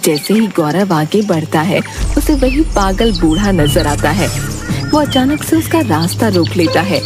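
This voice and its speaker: A woman's voice: on the low side, a little slow. A woman giving scripted narration for a cartoon story. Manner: steady, storytelling. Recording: background music.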